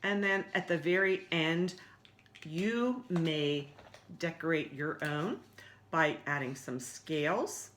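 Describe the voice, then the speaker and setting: A woman's voice: low voice, slow, a bit bright, slight background noise. A woman leading a story time for young children, speaking in simple, encouraging words as she explains songs, stories and activities. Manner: simple, encouraging address to small children with repetition.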